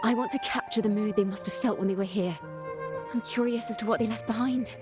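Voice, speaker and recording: A woman playing a thoughtful, earnest young traveling companion in a video game, speaking in a lyrical, measured way. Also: medium pitch, music in the background, close to an everyday voice. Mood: inspired, wondering. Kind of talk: scripted video game dialogue.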